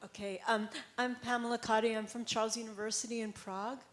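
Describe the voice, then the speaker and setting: A woman's voice: fairly high pitch, level tone, fast. An American woman making an eager, explanatory comment from a conference audience. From United States. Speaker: audience member, academic.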